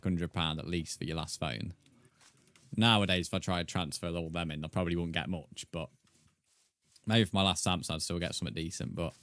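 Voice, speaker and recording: An English man chatting casually with viewers as a live streamer, in a rambling, matey way. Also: deep voice. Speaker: video game live streamer.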